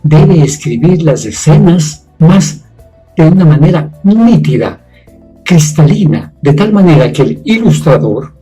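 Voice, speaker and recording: A man's voice: on the high side, lively intonation, measured pace, mellow, husky, soft background music, rough recording. A man, a scriptwriter, giving a prepared testimonial in formal, elaborate wording. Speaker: communicator and scriptwriter.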